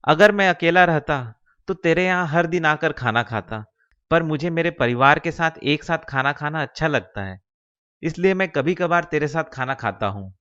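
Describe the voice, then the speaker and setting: A man's voice: fairly high pitch, lively intonation, moderate pace, fairly bright tone, lo-fi sound. A man playing a simple, dutiful young village man in a cartoon story, mild and agreeable in the way he talks. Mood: friendly. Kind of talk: Hindi cartoon moral-story voice acting.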